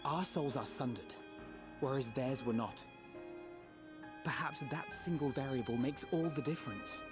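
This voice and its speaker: An actor playing a grave, analytical scholar in a fantasy game, formal and deliberate in delivery. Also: male voice, medium pitch, music in the background, lightly acted. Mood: grave, pondering.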